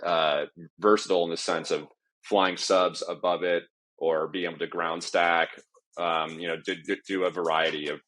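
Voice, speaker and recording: A man speaking as a panel guest on live sound, explaining off the cuff with halting, repeated phrasing. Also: low-pitched, little pitch movement, a bit bright. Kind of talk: panel discussion, unscripted explanation.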